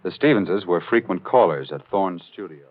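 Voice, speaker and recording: Brief: wry, knowing narrator for 1940s mystery drama. Deep, measured, with a tinny vintage radio sound. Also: male voice, lively intonation. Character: knowing male storyteller recounting a local murder case. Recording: thin, phone-like 1940s radio recording.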